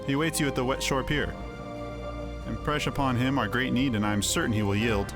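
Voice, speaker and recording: A male actor plays a grave fantasy game elder with a mid-pitched voice. His diction is archaic and measured, formal and earnest, with music playing faintly behind him. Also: steady tone, close to an everyday voice. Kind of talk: scripted fantasy video game dialogue.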